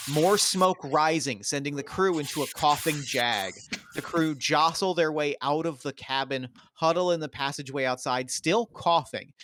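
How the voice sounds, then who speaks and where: A man's voice: medium pitch, a bit bright, no echo. A man doing scripted narration, reading out the stage directions of a screenplay at a table read.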